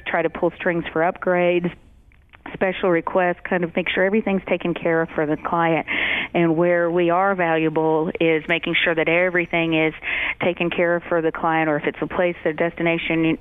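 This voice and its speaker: A woman's voice: fairly low pitch, clean tone, dry recording, phone-quality sound. A woman from Georgia, whose first language is German, talking in a chatty way about her travel agency, with many fillers and restarts. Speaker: travel agency owner, guest. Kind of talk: radio interview, casual.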